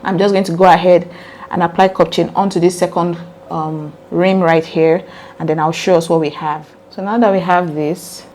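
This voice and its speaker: A woman with a slightly low, mellow voice that has turned raspy leads a hands-on craft tutorial. She chats earnestly and directly to her viewers while she works. A faint hum sits behind her. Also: fairly even intonation, normal speed. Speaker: sewing tutorial host. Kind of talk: casual step-by-step craft tutorial.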